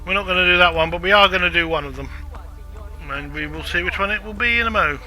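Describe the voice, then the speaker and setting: A man's voice: high voice, fast, bright, forceful tone, soft background music, rough recording. A man casually commenting on a game walkthrough, chatty and self-deprecating. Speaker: gameplay walkthrough narrator.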